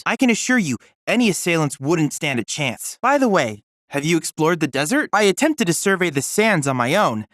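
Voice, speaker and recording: A boyish android research assistant from a video game, polite and endlessly curious. His phrasing is formal and precise, with pitch that moves in lively swings. The recording is close and dry. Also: no room sound. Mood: formal, guarded. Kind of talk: scripted video game character dialogue.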